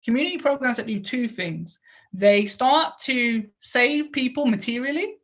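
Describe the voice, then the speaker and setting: A woman's voice: fairly high pitch, measured pace. A woman lecturing to an audience in an explanatory way, posing rhetorical questions and adding short check-in tags as she goes.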